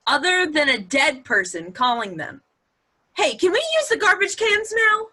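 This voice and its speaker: A woman's voice: high voice, sing-song, normal speed, bright, forceful tone, heavily acted. A woman playing a sarcastic, wisecracking young character in a comic play read aloud. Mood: flippant. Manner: sarcastic, quippy. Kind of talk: table-read stage comedy acting.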